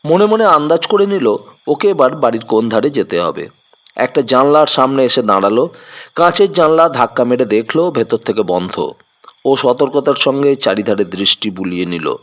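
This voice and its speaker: A man's voice: mid-range voice, animated, normal speed, fairly bright tone. A man narrating a scripted detective story in a dramatic, suspenseful manner.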